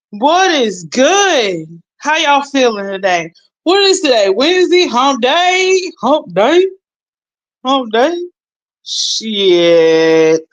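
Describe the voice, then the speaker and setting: A young adult woman, chatting casually on a livestream, recovering from strep throat, drawing words out with slang and loud bursts. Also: on the high side. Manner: slow, drawn-out talk with loud bursts and slang. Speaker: YouTube streamer.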